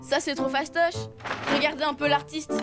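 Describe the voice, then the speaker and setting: A woman's voice: sits high, steady tone, normal speed, close to an everyday voice. A woman voicing a boisterous young elephant boy in a children's cartoon, a blunt and boastful show-off. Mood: boastful, excited. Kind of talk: animated children's cartoon dub. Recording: music behind the voice.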